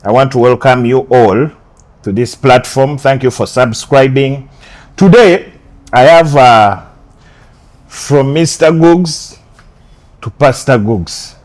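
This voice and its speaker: A man hosting a casual interview, with a lively introduction to the show and then short, steady prompts and agreements. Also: medium pitch, slow pace, long pauses. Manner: lively host intro, otherwise brief affirmations. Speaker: interview host.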